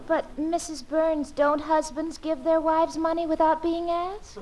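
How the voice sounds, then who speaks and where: Slow, high-voiced naive young wife. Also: female voice, flat delivery. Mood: innocently puzzled. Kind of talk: 1940s radio comedy acting. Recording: very noisy, crackly 1940s record.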